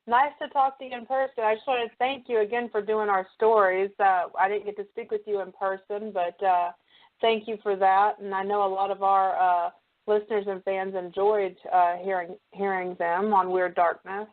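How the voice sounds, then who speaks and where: A woman's voice: medium pitch, little pitch movement, moderate pace. Woman co-host, thin phone-like sound. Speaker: podcast co-host. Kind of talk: casual chat. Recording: narrow phone-like audio.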